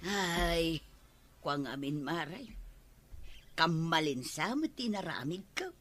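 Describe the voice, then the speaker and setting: An actress playing an exasperated farmer's wife in an Ilocano radio comedy drama, with an Ilocano accent. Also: female voice, deep voice, animated, slow pace, fairly bright tone, full character voice. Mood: regretful.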